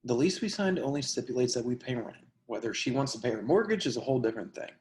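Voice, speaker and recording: A performer reading the part of a crude, excitable young city tenant in a play, over a roomy video call. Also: medium pitch, quick pace, close to an everyday voice. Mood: matter-of-fact.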